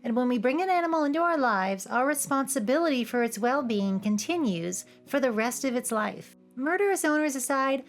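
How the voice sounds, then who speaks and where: Female video essayist, high voice, cheeky scripted commentary with music underneath. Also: animated, a little fast, few pauses. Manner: playful, cheeky asides. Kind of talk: scripted, humorous commentary over cartoon clips. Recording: music behind the voice. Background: background music.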